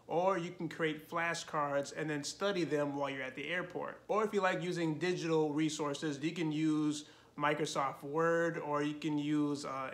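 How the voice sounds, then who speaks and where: A man in his thirties hosting a language-learning channel, giving casual tips to camera from his living room couch, animated and full of "you know" fillers. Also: a little high. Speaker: Spanish learning channel host.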